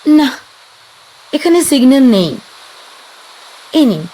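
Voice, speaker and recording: Woman doing an alert young woman, fairly high voice, direct polite lines, audio drama. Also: very animated, takes it slowly, mellow, slight background noise, heavily acted, low-quality recording. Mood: polite, concerned.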